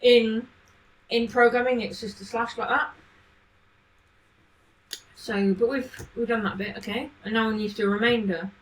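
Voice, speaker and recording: A woman's voice: fairly high pitch, fairly even intonation, normal speed, pauses often, low-quality recording. A woman chatting casually on a live coding stream, thinking aloud and answering questions from chat. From not from Manchester. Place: home, living in Manchester.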